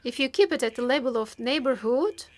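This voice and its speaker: A woman with a high voice interprets a formal speech live. She delivers it piece by piece, halting between short phrases and stretching words as she goes. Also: animated, a little mellow. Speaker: simultaneous interpreter.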